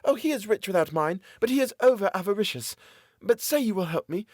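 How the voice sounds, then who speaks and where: A man voicing a frightened young woman locked in an asylum, pleading in a high, desperate voice. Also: expressive, fairly quick, no room sound, clean studio sound.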